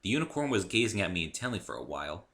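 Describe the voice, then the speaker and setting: A man giving an amateur dramatic reading of a first-person story, expressive and deliberate in stressing key words. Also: low-pitched.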